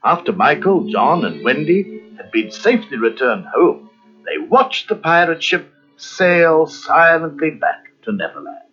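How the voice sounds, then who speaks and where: A male narrator reads a children's storybook expressively, with lively, swooping intonation. His fairly high voice sits over music on a slightly dull recording. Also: measured pace.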